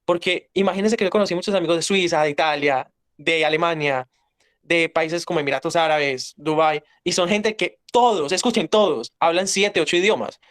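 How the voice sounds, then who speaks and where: A young man with a Paisa accent talks in a fairly high voice, driving his points home bluntly and emphatically. He sounds like a guest in a casual interview. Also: brisk, a bit bright. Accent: Paisa Colombian. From Colombia.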